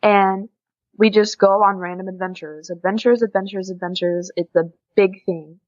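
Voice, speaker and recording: A woman's voice: mid-range voice, fairly even intonation, normal speed, darkish tone, no room sound, highs cut off. A teenage woman from the USA with an American accent, chatting informally and giggly as a podcast guest. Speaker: podcast guest, exchange student. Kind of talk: casual interview chat.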